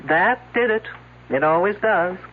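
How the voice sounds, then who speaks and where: A woman's voice: medium pitch, unhurried, close to an everyday voice. An actress playing a sardonic, hard-boiled woman detective in a radio drama, with dry, bossy quips. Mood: dry, probing. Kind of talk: 1970s radio drama acting. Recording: tinny, noisy old radio broadcast recording.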